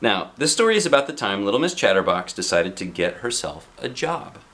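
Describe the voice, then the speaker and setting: A man reading a picture book aloud as a dad would, playful and expressive, with casual asides to a child. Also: fairly low pitch, a little fast.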